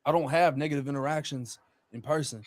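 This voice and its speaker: A man's voice: fairly high pitch, fast talker, no echo. A man chatting casually as a podcast guest, blunt and profane, with heavy street slang.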